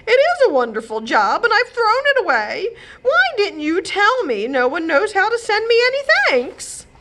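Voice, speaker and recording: Storybook fairy godmother, acted by a woman reader: proud, fretful, melodramatic, pitched high. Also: big pitch swings, moderate pace. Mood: tearful wailing. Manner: dramatic hissing, moaning and wailing.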